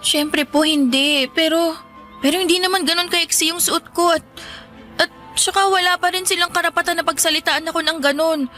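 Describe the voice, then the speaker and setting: A woman's voice: very high voice, steady tone, normal speed, bright, forceful tone, soft background music. A woman playing a hurt young daughter who protests politely and pleadingly in a Filipino radio drama. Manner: hesitant, respectful, pleading.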